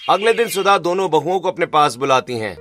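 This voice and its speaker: A man's voice: fairly high pitch, expressive, fairly quick, a bit bright. A man reading scripted narration for a cartoon family story.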